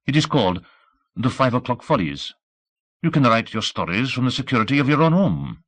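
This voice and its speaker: A man's voice: mid-range voice, sing-song, a little fast, a bit bright, no echo, pitched above the performer's own voice. A man voicing an immense, dignified and sly French hotel concierge in an audiobook, with a French accent and a florid, formal way of talking. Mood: persuasive.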